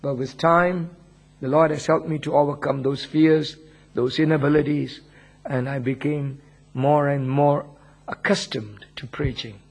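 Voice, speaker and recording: Husky preacher with an Indian accent. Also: male voice, a little high, steady tone, unhurried. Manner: humble, earnest, chuckles often. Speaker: pastor. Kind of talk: sermon, personal testimony.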